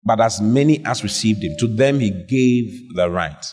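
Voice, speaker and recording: A man preaching, his voice husky and his pitch swinging widely as he calls questions out to his congregation. The sound carries a long reverb from a large church hall. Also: normal speed. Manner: animated, call-and-response with the congregation. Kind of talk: lively sermon.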